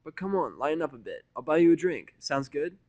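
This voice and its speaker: A man's voice: a little high, animated, fairly quick, darkish tone, no echo, dull audio, pitched above the performer's own voice, natural voice. A man voicing a casual, assertive female pony who speaks bluntly in a story reading. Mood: easygoing.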